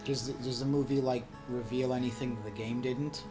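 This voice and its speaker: Female podcast co-host, low voice, casual banter, clear music underneath. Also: fairly even intonation, a little fast. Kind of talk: casual group chat.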